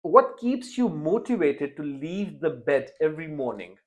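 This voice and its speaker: A man's voice: on the high side, big pitch swings, measured pace. A young man hosting a casual interview podcast, talking with frequent fillers and restarts. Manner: hesitant, many fillers and restarts. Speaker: podcast host.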